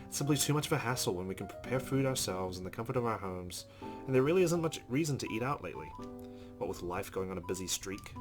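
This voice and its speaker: A male let's play host with a fairly low voice. He reads visual novel narration aloud and drops in casual commentary. Game music plays clearly behind him. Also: animated, quick pace.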